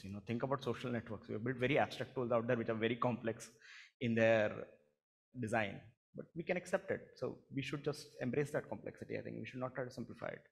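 A man's voice: mid-range voice, creaky. A man on a conference panel, making his point emphatically and often repeating words as he starts. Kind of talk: panel discussion. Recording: long reverb of a conference hall.

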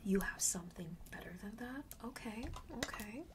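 A woman's voice: medium pitch, lively intonation, normal speed, background noise. A woman whispering breathily in a chatty, playful ASMR roleplay as a boastful fragrance seller, often repeating phrases for emphasis. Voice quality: breathy whisper, mostly unvoiced. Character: friendly, boastful enchanted-forest perfume seller.

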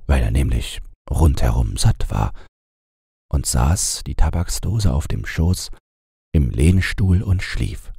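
A man narrating a bedtime fairy tale as an audiobook reader, in a calm, measured storytelling manner. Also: very deep voice, vocal fry, slightly rough, no echo, clean studio sound.

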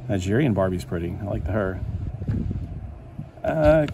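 A man's voice: deep voice, expressive, measured pace, noisy background. A man casually chatting to himself as he flips through a collector magazine, rambling and easily delighted. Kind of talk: casual chat over a magazine flip-through. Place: indoors at a table.

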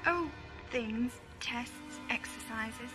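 A woman's voice: a little high, drawn-out words, over music. A woman playing a weary, naive immigrant in a science fiction audio drama, hesitant and plaintive. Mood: naive, wistful.

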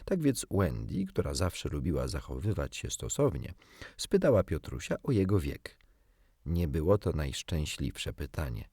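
A man reading a children's storybook as an audiobook narrator, in an expressive scripted style. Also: very deep voice, a little slow, creaky, husky, no room sound, studio quality.

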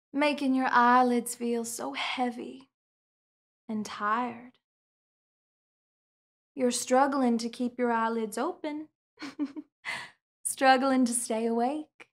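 Slow, soothing young woman hypnotist. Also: a little high. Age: young adult. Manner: soft, slow, soothing, repetitive counting and commands. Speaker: hypnosis roleplay performer. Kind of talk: ASMR hypnosis roleplay. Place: indoor studio set with curtain backdrop.

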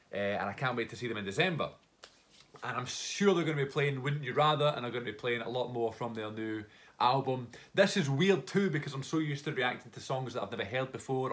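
A man's voice: medium pitch, fairly bright tone. A young man, stuffy-nosed from hay fever, gushing and rambling as he reacts to a new song at home. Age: young adult. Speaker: music reaction YouTuber. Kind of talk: casual reaction vlog. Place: living room at home.

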